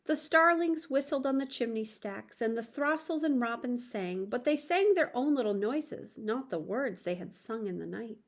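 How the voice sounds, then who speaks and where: A woman reading a children's story aloud in an expressive storytelling manner. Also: fairly high pitch, moderate pace, few pauses. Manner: expressive, addresses young listeners.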